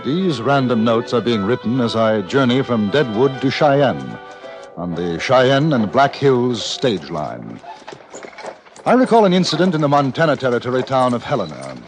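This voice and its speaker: An actor playing a measured, literate frontier newspaper correspondent with an English accent, narrating dramatically from a script. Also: male voice, medium pitch, big pitch swings, over music. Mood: reflective.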